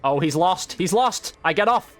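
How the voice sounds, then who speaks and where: A man's voice: high voice, lively intonation, moderate pace. A man giving casual, reactive running commentary while playing a video game. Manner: reactive, exclamatory, slangy. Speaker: video game streamer, YouTube host. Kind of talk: live gameplay commentary, casual chat.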